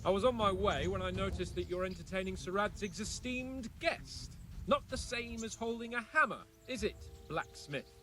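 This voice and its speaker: An actor playing a haughty young lord in a medieval video game, sneering with affected politeness. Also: male voice, high-pitched, normal speed, pushed and bright. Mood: mocking. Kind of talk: video game drama acting.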